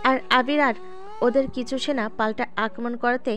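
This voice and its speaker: A woman's voice: on the high side, steady tone, brisk, a bit bright. A woman narrating a scripted audio story. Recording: background music under the voice.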